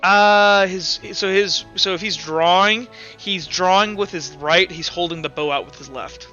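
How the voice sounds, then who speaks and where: A man serves as game master, improvising the action of a tabletop game and thinking aloud as he goes. His voice is fairly high and forward, bright in tone. Faint music plays under a slightly dull recording. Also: measured pace.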